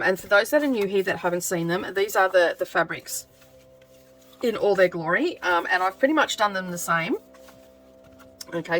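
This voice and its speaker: A woman with a croaky voice chatting casually as she sews, thinking aloud with lots of ums. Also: mid-range voice, a bit bright, low-quality recording. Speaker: craft vlogger. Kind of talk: casual craft vlog chat.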